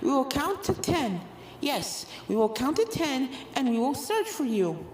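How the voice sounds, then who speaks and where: A woman's voice: high-pitched, sing-song, measured pace, a little mellow, slight background noise, voice pushed up. A woman voicing a pair of playful little ghost colts, five-year-old boys who echo each other in a childlike sing-song for a story reading. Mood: childishly playful. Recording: music behind the voice.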